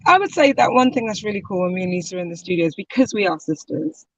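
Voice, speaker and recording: A woman's voice: mid-range voice, lively intonation, fast, highs cut off. A woman singer-songwriter chatting as an interview guest, talkative with lots of fillers and easy laughter. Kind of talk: casual interview chat.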